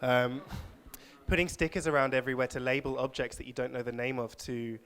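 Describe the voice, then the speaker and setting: Man, conference speaker, middle pitch, reflective, measured, lecture hall. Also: fairly even intonation, a bit bright. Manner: reflective, measured closing remarks. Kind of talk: conference talk with audience questions.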